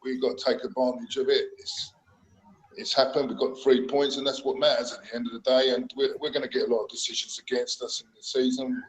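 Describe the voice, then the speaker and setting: A man's voice: medium pitch, flat delivery, brisk. Hesitant man in an echoey room. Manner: hesitant, lots of uhs. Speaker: podcast panelist. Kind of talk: casual chat.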